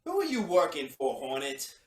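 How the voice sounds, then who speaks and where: A man's voice: a little high, wildly swooping pitch, moderate pace, reverberant. A man acting a crooked, easily flustered secretary in an old-time radio drama, muttering and blustering. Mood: defiant, flustered. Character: crooked male judge's secretary, shifty, indignant, easily flustered.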